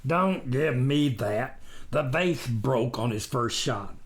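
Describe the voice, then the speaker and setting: A man voicing a gruff, mocking, dismissive cynic in a storybook reading, with a barking delivery. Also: medium pitch, lively intonation, slow pace. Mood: scoffing. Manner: scornful, dismissive. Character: gruff, scoffing, mocking imagined cynic with Old West talk.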